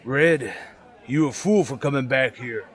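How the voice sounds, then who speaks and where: A man playing a rattled small-time crook in a radio drama, with rough, slangy, defensive speech. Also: medium pitch, measured pace, close to an everyday voice. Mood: suspicious. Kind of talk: radio drama acting as a gangster.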